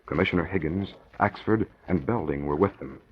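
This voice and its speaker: A man's voice: deep voice, brisk, natural voice. A man performing as a dramatic narrator of a 1940s radio serial, with scripted delivery. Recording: muffled vintage radio recording.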